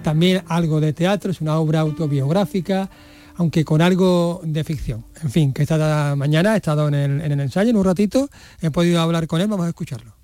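A man reporting culture news on radio in a brisk, informative way. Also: fairly high pitch, warm tone, dry recording, soft background music.

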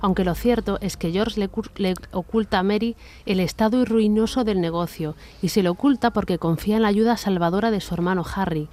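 A woman's voice: mid-range voice, a little fast, few pauses, darkish tone. A woman narrating a scripted radio drama as its storyteller. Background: faint music.

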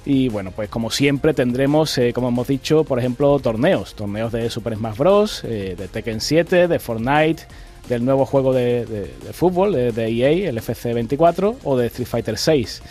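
Mid-pitched male host, measured radio delivery with fillers, soft music bed. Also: expressive. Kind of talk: radio announcement and news reading.

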